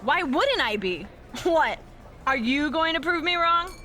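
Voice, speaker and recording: A woman's voice: high-pitched, expressive, unhurried, a little hiss or hum, exaggerated voice. An actress playing a boastful, bratty woman wrestling champion in a video game cutscene, smug and mocking. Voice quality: bright, taunting.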